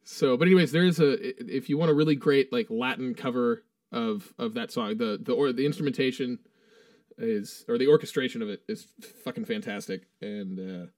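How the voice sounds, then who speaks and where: A man's voice: mid-range voice, fairly quick, darkish tone, no room sound, studio quality, distant mic. A man co-hosting a podcast, chatting loosely and swearing as he reads through a ranked song list. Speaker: podcast co-host. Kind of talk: casual chat.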